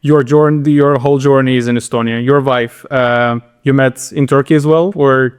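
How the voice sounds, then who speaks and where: A man's voice: mid-range voice, steady tone, normal speed, clear, resonant tone. A man hosting a casual interview, asking questions conversationally. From Latvia. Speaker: interviewer, host.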